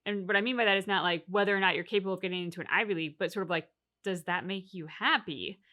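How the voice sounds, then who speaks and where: A woman's voice: mid-range voice, racing, pushed and bright. A woman chatting casually as a reaction co-host, reflecting and explaining her points at length with examples. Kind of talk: casual discussion of a video game story.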